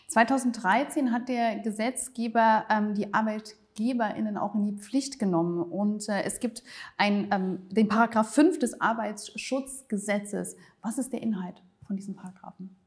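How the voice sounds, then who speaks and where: A woman's voice: medium pitch, normal speed. A woman conducting an interview, framing long, careful questions for her guest.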